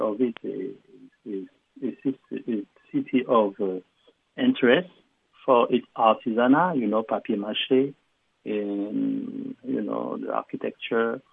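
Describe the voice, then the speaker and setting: A man from Haiti, a historian answering interview questions in a halting way with many fillers and restarts. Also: medium pitch, creaky, slightly rough, no echo. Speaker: guest, historian and literature professor. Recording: phone line.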